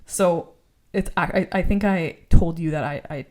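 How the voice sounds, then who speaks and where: A woman's voice: on the low side, moderate pace, a little mellow. Earnest rambling young woman podcaster. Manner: earnest, rambling, laughs often, uses "like" a lot. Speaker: podcast co-host. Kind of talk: candid faith-based podcast chat.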